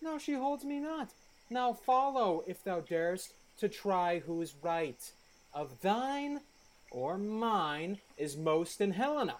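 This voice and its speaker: A woman's voice: medium pitch, sing-song, slow pace. A woman acting a scornful, hot-headed young man in love, theatrical and mocking, in a Shakespeare radio play. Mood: challenging.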